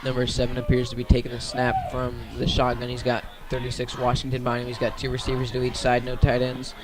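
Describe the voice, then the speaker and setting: A man's voice: medium pitch, completely flat delivery, quick pace. A man doing live radio play-by-play of a football game outdoors, in short clipped phrases. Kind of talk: live radio sports commentary.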